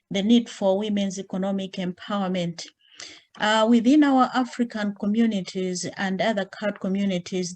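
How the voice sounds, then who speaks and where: A woman representing community groups who asks a panelist a question politely, with frequent pauses. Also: mid-range voice, a little mellow. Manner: polite and measured, with frequent uh fillers. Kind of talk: online panel discussion.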